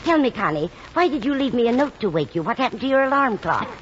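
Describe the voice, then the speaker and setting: A kindly, scatterbrained older landlady chatters earnestly in a high voice with plenty of swoop. It sounds like vintage radio comedy, on a dull recording with faint hiss. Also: big pitch swings, fast talker. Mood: earnest, chatty. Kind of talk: old-time radio sitcom acting.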